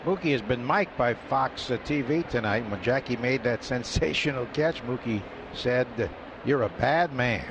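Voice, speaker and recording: A man giving relaxed, chuckling color commentary on a baseball radio broadcast. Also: medium pitch, moderate pace. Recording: muffled radio broadcast with noise.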